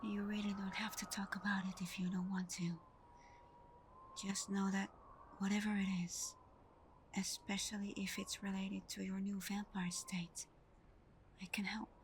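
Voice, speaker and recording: A woman playing a tender, devoted vampire girlfriend in an intimate ASMR roleplay, speaking softly and breathily, often in a whisper, gentle and reassuring. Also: medium pitch, flat delivery, unhurried, long pauses, slight background noise, natural voice.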